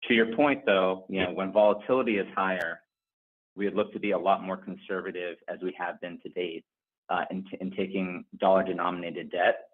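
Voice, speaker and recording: A man's voice: deep voice, fairly even intonation, brisk. A male company executive giving unscripted answers on an earnings conference call. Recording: narrow, phone-like conference call line.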